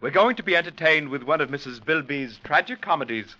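On a muffled old radio recording, a condescending society gentleman. He is suave and affected, with lively swooping pitch and a bright, crisp edge. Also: brisk. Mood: urbane, snobbish. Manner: affected, patronizing. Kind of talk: 1940s radio acting.

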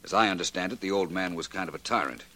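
An actor playing a suave, dryly witty American detective, measured and articulate, in a 1940s radio drama. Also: male voice, deep voice. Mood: matter-of-fact. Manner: measured, articulate, gently ironic.

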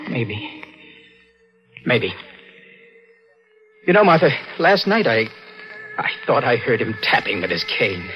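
A man's voice: mid-range voice, very animated, takes it slowly, pauses often, breathy edge, muffled recording, exaggerated voice. An actor playing a guilty, nervous, morose man in a 1940s radio mystery, speaking with brooding, dramatic delivery. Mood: fearful. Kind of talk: 1940s radio mystery acting, narration and dialogue. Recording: old radio broadcast with music behind.

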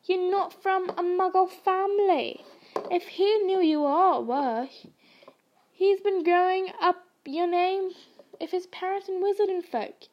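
Gruff kindly giant gamekeeper, read by a woman; hearty, raised, fairly high. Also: steady tone, slow pace, lo-fi sound.

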